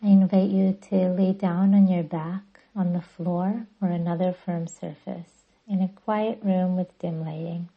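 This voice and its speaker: Female meditation guide, breathy with a creaky edge, even and soothing, slightly muffled recording. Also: fairly low pitch. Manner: soothing, gentle, deliberate. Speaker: yoga nidra guide and podcast host.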